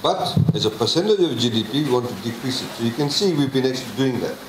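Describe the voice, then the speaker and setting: A man, presenting a budget to a public meeting in a measured way, with many pauses and fillers. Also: medium pitch, animated, background noise. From Fiji. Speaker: government minister presenting a budget. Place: public consultation meeting hall. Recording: echoey hall, faint ambience.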